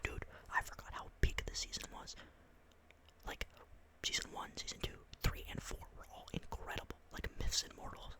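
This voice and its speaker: A tired young man doing whispered ASMR, reading game lore close to the mic with rambling, excitable, apologetic asides. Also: low-quality recording. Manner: soft unvoiced whisper throughout. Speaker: ASMR creator. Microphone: close microphone.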